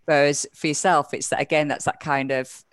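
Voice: mid-range voice, fairly even intonation, fairly quick, fairly bright tone, smooth, no echo, clean studio sound. A podcast host in a casual interview, speaking thoughtfully, hesitating and correcting themselves often. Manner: thoughtful, hesitant, many fillers and self-corrections.

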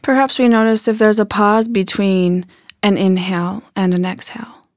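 A woman leading a guided body scan meditation in a gentle, unhurried manner with soft phrase endings. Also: medium pitch, level tone, no room sound, clean studio sound.

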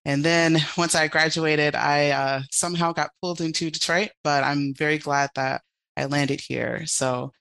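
Bright, upbeat young woman guest. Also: deep voice, fairly even intonation, a little slow, creaky. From Chicago area. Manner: upbeat, playful, laughs while talking. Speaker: guest, architectural designer. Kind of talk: interview answers.

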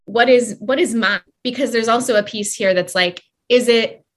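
A woman's voice: a little high, normal speed. A woman from Canada, speaking as an interview guest in a long, reflective way with many fillers and restarts. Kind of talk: conversational interview.

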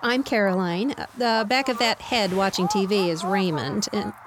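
A woman's voice: mid-range voice, normal speed, background noise, natural voice. A woman playing a composed, firm wife and gracious hostess in an audio comedy, polite but easily exasperated. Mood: polite, awkward. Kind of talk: audio drama comedy acting.

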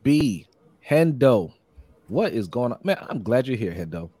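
A hoarse man hosting a casual live-streamed sports podcast, upbeat and repetitive, reading out viewer comments and giving shout-outs. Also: medium pitch, big pitch swings, a little slow, no echo. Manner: upbeat, repeats phrases, many shout-outs. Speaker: podcast host.

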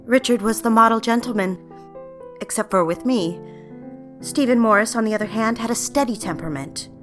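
A woman playing a refined, smitten young career woman in a mid-century period audio drama, speaking with measured, demure poise. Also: fairly high pitch, soft background music, natural voice. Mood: wistful, admiring. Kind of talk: period audio drama with first-person narration.

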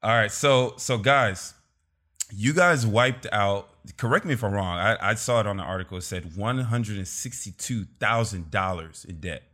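Chill podcast host guy, kinda low voice, talks to guests over a call, lots of "man". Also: normal speed, bright, forceful tone. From Los Angeles. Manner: laid-back, says "man" a lot, laughs often. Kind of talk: casual video-call interview. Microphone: remote call.

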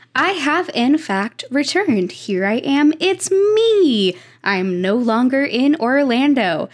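Quirky high-voiced woman, very lively pitch. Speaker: podcast producer with regular segment. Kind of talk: playful monologue to listeners.

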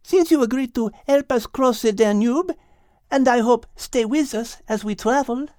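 A man voicing a gracious, clever female French cat in a soft falsetto with a French accent and polite, measured phrasing. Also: dry recording, clean studio sound. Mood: warm, curious.

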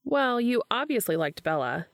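A woman's voice: a little high, big pitch swings, normal speed, no echo, studio quality, pitched above the performer's own voice. A woman voicing a young man, a wry, grudging but protective friend in a story.

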